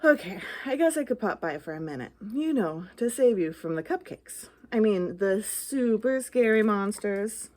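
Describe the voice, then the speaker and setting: A woman's voice: medium pitch, expressive, normal speed, hardly any pauses, darkish tone, lightly acted. A woman voicing a jumpy, bluffing little ghost in a children's picture book, theatrical and full of anxious questions. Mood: doubtful.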